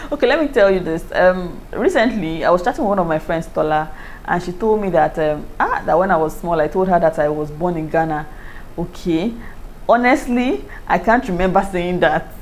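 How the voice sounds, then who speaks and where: A woman with a Nigerian accent, chatting casually to her viewers in a lively way and often breaking into laughter. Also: fairly low pitch, normal speed, slight background noise. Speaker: YouTuber. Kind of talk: casual review chat to camera.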